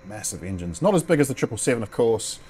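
A man's voice: medium pitch, very animated, quick pace, darkish tone, slight background noise. A man in his thirties with a New Zealand accent giving casual, rambling flight sim commentary full of ums and the odd swear, speaking into a headset at home.

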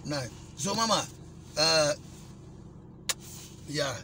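A man's voice: fairly high pitch, drawn-out words, noisy background. A middle-aged Ghanaian man preaching emphatically into a dashboard phone while driving. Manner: emphatic, preachy, repeats blessings. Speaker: evangelist. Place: inside a small car.

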